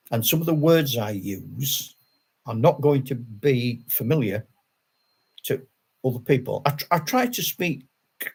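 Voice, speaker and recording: A man's voice: medium pitch, vocal fry, husky. An older man with a Yorkshire accent, chatting to his channel viewers in a rambling, self-deprecating way, with frequent chuckles. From Yorkshire, England. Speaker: wargaming channel host. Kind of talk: casual rambling monologue to camera.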